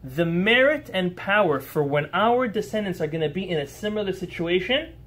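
A rabbi giving an earnest, emphatic Torah lesson to a small live group, posing rhetorical questions and answering listeners' remarks on the fly. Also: male voice, sits high, lively intonation, normal speed, fairly bright tone.

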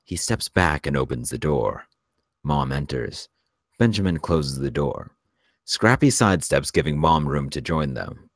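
A man's voice: a bass voice, very animated, a little slow, gravelly, no echo, studio quality. A man narrating the stage directions of a screenplay at a table read.

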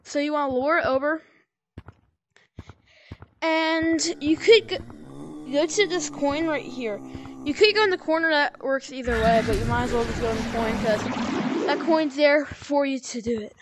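A woman casually walking through a VR game tutorial on a headset mic, rambling and correcting herself, with sudden excited outbursts. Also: high-pitched, unhurried, pauses often, a little hiss or hum. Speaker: gameplay tutorial maker. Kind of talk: casual VR gameplay walkthrough.

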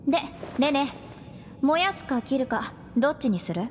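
Cheeky boy sidekick, female actor: high, lively intonation, breezy banter, reverberant audio drama sound. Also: normal speed, a little hiss or hum. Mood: flippant, startled. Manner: breezy, teasing, boastful. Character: cheeky, talkative young male sidekick.

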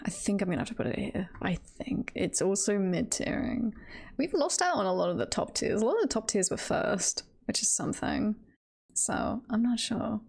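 A woman's voice: mid-range voice, lively intonation, moderate pace, gravelly, darkish tone, slightly rough. A woman livestreamer chatting casually and hesitantly as she talks through tier lists. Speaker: streamer.

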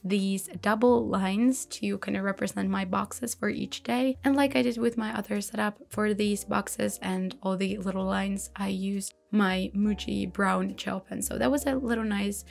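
Creaky-voiced woman narrating her journaling. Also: medium pitch, unhurried, hardly any pauses. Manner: relaxed, chatty, self-reflective, light laughs. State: voice fading, slightly hoarse. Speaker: bullet journal creator. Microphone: voice-over recorded separately. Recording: soft background music.

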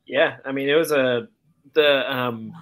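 A man on a casual sports podcast call, recounting plays in a halting, appreciative way. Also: medium pitch, fairly even intonation, fairly bright tone. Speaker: podcast panelist. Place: indoor room, remote video call. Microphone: video call microphone.

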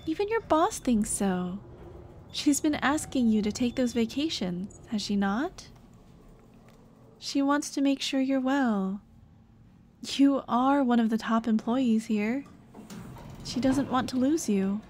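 Young woman playing a ghost girlfriend in asmr roleplay, highish voice, takes her time, tender but nags a bit. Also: lively intonation, slow, long pauses, slight background noise. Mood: gently nagging. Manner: tender, coaxing, soft-hearted scolding. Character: caring, gently nagging dead girlfriend's ghost. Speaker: roleplay voice actress.

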